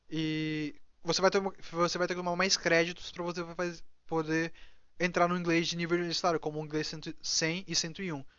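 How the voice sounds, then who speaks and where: A young man from Brazil talks to his viewers in a relaxed, rambling way, often stopping mid-sentence and starting over. His voice sits fairly high. The sound is dry and a little muffled, recorded indoors. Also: no room sound. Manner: rambling, many restarts and fillers. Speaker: vlogger. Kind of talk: casual advice monologue.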